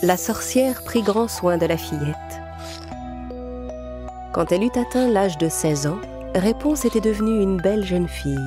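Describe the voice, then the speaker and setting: A woman's voice: medium pitch, expressive, a little slow, long pauses. A woman reading a scripted fairy tale as a storyteller. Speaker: narrator. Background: music.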